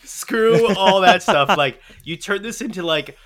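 Bright higher-voiced man, excited and informal. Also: unhurried. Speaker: podcast panelist. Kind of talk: casual slangy chat.

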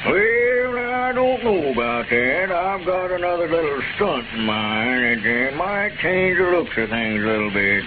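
An actor, pompous, hesitant and oily in his flattery. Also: a little high, measured pace, hardly any pauses, loud music behind the voice. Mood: sly, evasive. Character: scheming, sanctimonious small-town squire, folksy busybody. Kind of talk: 1930s radio comedy acting. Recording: scratchy muffled 1930s radio broadcast, heavy noise.

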